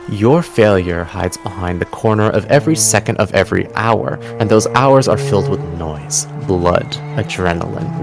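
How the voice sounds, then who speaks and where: A man delivering dramatic scripted narration as a game master, solemn and theatrical, with deliberate pauses. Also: low-pitched, creaky. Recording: music bed behind the voice. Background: music.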